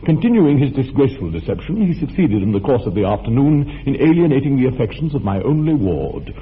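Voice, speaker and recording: A man voicing a stiff, proper young English gentleman in a radio play, speaking formally and with mock gravity. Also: medium pitch, sing-song, fairly quick, lightly acted. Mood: aggrieved. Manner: formal, precise, mock-grave speech. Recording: thin narrow-band radio recording with faint hiss.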